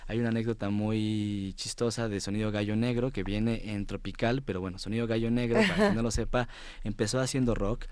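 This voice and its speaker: A man with a Mexico City accent and a fairly low voice, recorded close and dry. He talks casually as an interview guest, searching for words, repeating them and checking in with short question tags. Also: a little fast, hardly any pauses, darkish tone, smooth, no echo. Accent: Mexico City Spanish. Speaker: interview guest, music writer and editor. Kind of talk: casual radio-style interview.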